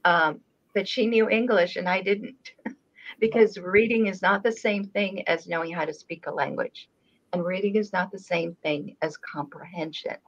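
A lower-pitched woman who grew up in Israel, with Hebrew as her first language, talks through a webcam from a room at home. She speaks slowly and pauses often, filling the gaps with "um" and "uh". The call audio is dull and lo-fi. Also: fairly even intonation. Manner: unhurried, with many pauses and fillers. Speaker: channel host. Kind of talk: casual video call conversation. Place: home room with framed painting. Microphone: video call webcam.

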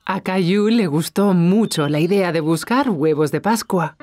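A woman's voice: lowish voice, unhurried, darkish tone, no echo, studio quality, faint music behind, natural voice. A woman narrating a scripted children's cartoon story for young listeners.

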